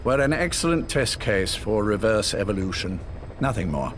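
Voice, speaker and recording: Cold older spymaster man, game cutscene style, lowish voice, formal n measured lecturing, loud rumble behind. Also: sing-song. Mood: dismissive, lecturing. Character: cold, visionary older male spymaster called Major. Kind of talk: scripted dramatic game dialogue.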